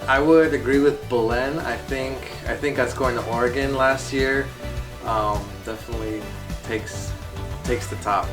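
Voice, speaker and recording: A man's voice: mid-range voice, steady tone, unhurried. A man in his twenties, a band member, chatting in a laid-back, slangy way. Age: 20s. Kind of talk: casual chat. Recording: distant mic with background music.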